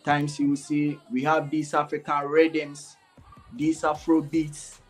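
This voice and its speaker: A man's voice: fairly high pitch, completely flat delivery, slow pace, faint music behind. A young man from Ghana with a Ghanaian accent mixed with Jamaican patois. He talks in a casual interview style, keeps asking himself "what?" and answering it, and says "you know" all through. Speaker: dancehall artist, guest. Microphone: remote video call.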